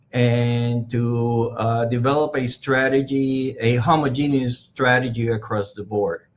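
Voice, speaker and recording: Measured Puerto Rican man answering interview questions. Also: mid-range voice. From Puerto Rico. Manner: measured, pausing with fillers, non-native phrasing. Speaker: podcast guest.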